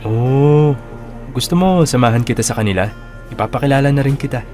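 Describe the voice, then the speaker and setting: A man's voice: mid-range voice, a little fast, a little mellow, natural voice. A man playing a modest, easygoing male college student leader in a radio drama, reserved and lightly teasing. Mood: friendly, casual. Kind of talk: Filipino radio drama acting. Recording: roomy echo, music underneath.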